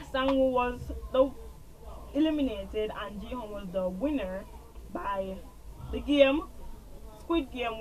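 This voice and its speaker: A woman's voice: medium pitch, a little mellow, slight background noise, low-quality recording. A woman with a Jamaican accent recapping a TV series in a casual, rambling way, repeating herself and trailing off with filler. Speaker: TV show reviewer.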